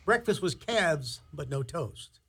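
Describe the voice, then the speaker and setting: A man's voice: fairly high pitch, very animated, takes it slowly, bright, forceful tone. A man narrating a fairy-tale radio play in a dramatic storybook style, theatrical and wry. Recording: clearly noisy background.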